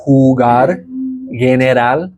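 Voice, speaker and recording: A man's voice: medium pitch, slow, over music. Encouraging American man teaching a class. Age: 30s. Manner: encouraging, explanatory. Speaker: Spanish teacher. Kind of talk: informal group language lesson. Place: small indoor room beside a large monitor.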